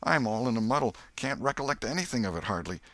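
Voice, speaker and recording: A man's voice: mid-range voice, expressive, quick pace, a bit bright, no echo, pitched above the performer's own voice. A man voicing a frightened, pitiful male drunkard in an audiobook, begging and wailing in rustic speech. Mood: panicked, dazed.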